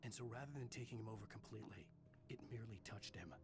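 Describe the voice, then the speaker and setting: An actor playing a brooding male writer narrating his own ordeal in a game, in a low, measured, grave manner. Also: lightly acted. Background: game music.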